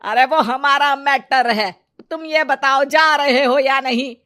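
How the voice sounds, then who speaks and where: A woman's voice: high voice, takes it slowly, pushed and bright, heavily acted. A woman playing a bossy, loving old grandmother in a family story, scolding in a playful, folksy way. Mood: teasing.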